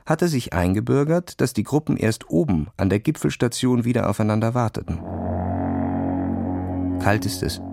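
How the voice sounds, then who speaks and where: A man's voice: mid-range voice, animated, brisk, vocal fry, hardly any pauses, warm tone, dry recording, soft background music. A man reading a scripted story for children as a narrator. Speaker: audiobook narrator.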